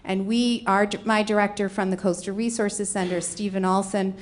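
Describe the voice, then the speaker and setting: A woman's voice: mid-range voice, fairly even intonation, normal speed. A middle-aged woman from Rhode Island, speaking with a Rhode Island accent, gives a conference presentation and explains her slides one by one. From Rhode Island, USA. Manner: explanatory, walking through slides. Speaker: conference panelist.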